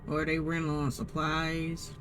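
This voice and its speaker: A man's voice: on the high side, monotone, a little slow, fairly bright tone, soft background music. A man commenting casually over gameplay as a streamer, in a chatty, rambling way. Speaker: gaming streamer.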